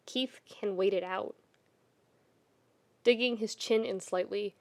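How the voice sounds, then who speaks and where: Slow-paced woman narrating fiction. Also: mid-range voice. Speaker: podfic narrator.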